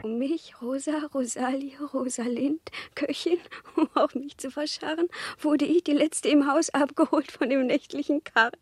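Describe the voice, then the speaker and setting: An actress playing a dead cook, a plague victim, telling of her burial in halting, plaintive radio play verse. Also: high voice, steady tone, a little mellow, lightly acted. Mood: mournful, eerie. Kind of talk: poetic radio play monologue.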